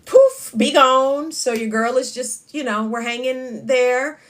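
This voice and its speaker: Lady in her 50s chatting on a live stream, loud voice, a bit high, talks right to the viewers. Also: animated, slow pace. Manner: chatty, reads viewer comments aloud, loud voice that carries. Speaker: livestream host. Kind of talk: casual live chat.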